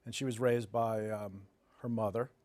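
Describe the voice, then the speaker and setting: A man's voice: on the low side, fairly even intonation, normal speed, darkish tone, dry recording. A man in his fifties from New Jersey, telling stories casually and matter-of-factly as an interview guest. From New Jersey, United States. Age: 50s. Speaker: interview guest, development executive. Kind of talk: conversational interview storytelling.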